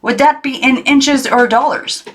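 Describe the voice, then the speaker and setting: A woman's voice: high-pitched, steady tone, measured pace, fairly bright tone, a little hiss or hum, voice pushed up. A woman reading the part of a playful, teasing older great-uncle in a children's storybook, exclamatory and formally polite. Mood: playful exclaiming.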